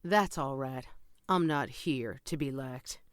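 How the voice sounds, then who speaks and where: A stern government chief played by a woman in a superhero audio drama. She speaks slowly and with authority, clipped and unsmiling, in a dry close recording. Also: a bass voice, dry recording. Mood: cold, commanding. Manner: curt, authoritative. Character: stern, hard-nosed female government official.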